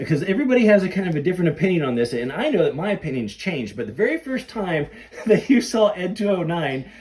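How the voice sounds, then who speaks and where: Animated, higher-voiced man, slightly off-mic. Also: distant mic. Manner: excitable, repeats and rephrases himself, acts out quoted lines. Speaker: podcast host. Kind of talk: excited casual fan chat about films.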